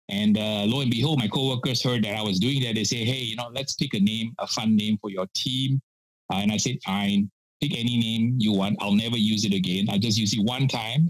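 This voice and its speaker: Older man from Malaysia, low voice, chatty storyteller, dry close mic. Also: dry recording, rough recording. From Malaysia (Penang). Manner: chatty storyteller, many "uh" fillers, laughs often. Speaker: pitmaster guest. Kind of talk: casual podcast interview.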